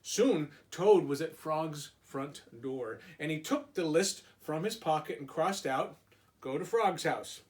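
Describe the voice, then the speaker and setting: Male storybook reader for little kids, teacherly, unhurried, very animated delivery with small asides. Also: a little high. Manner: slow, simple phrasing with asides to children. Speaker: preschool and kindergarten teacher. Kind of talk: expressive storybook read-aloud for young children.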